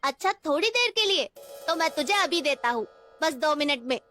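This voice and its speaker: A woman's voice: high voice, lively intonation, normal speed, pushed and bright, soft background music, pitched above the performer's own voice. A woman voicing a spoiled, petulant little slum boy in a cartoon story, whiny and childish. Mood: grudging.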